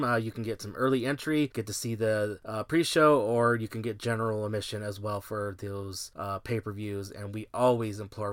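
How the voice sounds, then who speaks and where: A man speaks as a podcast host in a rambling, conversational style. His mid-pitched voice sits in a dry, close recording with very little room sound. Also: bright, forceful tone, dry recording. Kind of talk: podcast sign-off and plugs.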